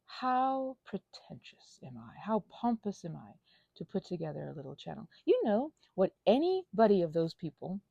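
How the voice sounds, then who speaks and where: Anxious, whimsical woman with creaky voice. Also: lowish voice, big pitch swings, warm tone, no room sound, highs cut off. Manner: theatrical, playful whimsy; halting, tangent-prone. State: anxious, panicky; unwell. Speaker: ASMR channel host. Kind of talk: casual rambling vlog.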